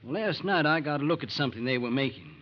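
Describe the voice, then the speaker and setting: A man's voice: on the high side, lively intonation, quick pace, highs cut off, slight background noise, lightly acted. An actor playing an anxious, plain-spoken farmer and father in an old-time radio drama. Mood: hesitant, earnest. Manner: plain-spoken, hesitant, rustic colloquial phrasing.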